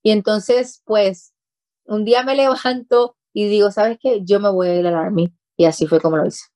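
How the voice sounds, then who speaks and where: A woman's voice: medium pitch, steady tone, normal speed, a little mellow, no echo. A woman in her thirties with a Puerto Rican accent, an interview guest speaking earnestly on a talk show. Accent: Puerto Rican Spanish. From Puerto Rico. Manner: earnest, speaks with emphasis and repetition. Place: small studio office set.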